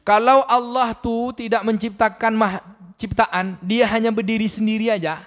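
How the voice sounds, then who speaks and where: A man's voice: high-pitched, fairly even intonation, moderate pace, fairly bright tone. A man, preaching a sermon and questioning the congregation directly. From Riau, Indonesia. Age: 40s. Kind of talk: mosque sermon. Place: mosque hall. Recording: long mosque hall reverb.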